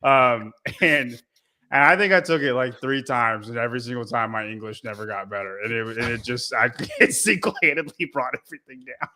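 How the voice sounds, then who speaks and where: A man's voice: mid-range voice, fairly quick, pushed and bright. A man co-hosting a podcast, rambling and self-mocking in casual chat, full of fillers. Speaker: podcast co-host.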